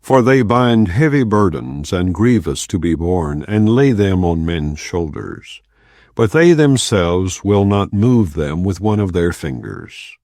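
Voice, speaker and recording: A man giving a solemn, measured, non-dramatized reading of scripture from the Bible. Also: low voice, animated, a little mellow, dry recording, clean studio sound. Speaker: Bible reader.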